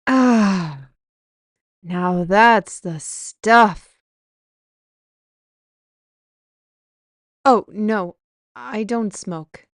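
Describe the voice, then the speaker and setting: A wry, worldly female mage acted by a woman muses aloud at an unhurried pace. The fantasy roleplay delivery is theatrical and chatty, recorded close and dry. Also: medium pitch, no echo, natural voice. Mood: awed, wistful. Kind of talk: fantasy audio roleplay acting.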